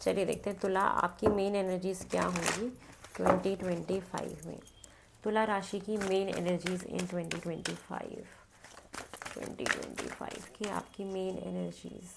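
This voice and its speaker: A woman's voice: fairly low pitch, flat delivery, unhurried, gravelly, heavy background noise. A woman with a cold and a blocked nose, giving a casual tarot reading to the camera. She talks in a chatty way, repeats her phrases and chants between the cards. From North India. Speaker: tarot card reader.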